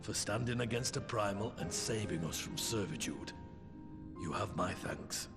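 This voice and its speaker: Stern lowish voiced warrior, terse and proud, game drama, music loud behind him. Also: male voice, normal speed, vocal fry. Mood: grave gratitude. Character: stern, proud male fighter, grudgingly grateful. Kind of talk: dramatic fantasy game dialogue. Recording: prominent music over the voice.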